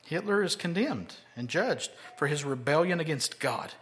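A man preaching an expository sermon, moving between loud, emphatic lines and quiet, low asides. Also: expressive, moderate pace. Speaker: pastor.

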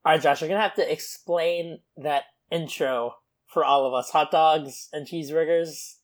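A man's voice: on the high side, moderate pace. A young man co-hosting a pop culture podcast, chatting casually and filling gaps with uh and um. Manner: relaxed, lots of uh and um fillers. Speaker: podcast co-host. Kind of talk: casual chat.

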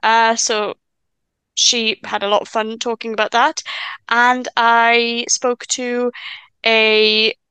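Bright Welsh woman with a stutter. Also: a little high, level tone, clean tone, no room sound. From Wales. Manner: stammers and restarts, stutter; chatty, quick asides and laughs. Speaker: podcast guest. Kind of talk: casual chat.

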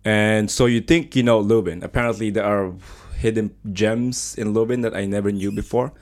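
Low-voiced man hosting a radio chat. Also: fairly even intonation, moderate pace, a little mellow. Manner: chatty, hesitant with frequent fillers. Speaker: radio show host. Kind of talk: casual live radio chat. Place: radio studio. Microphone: studio microphone.

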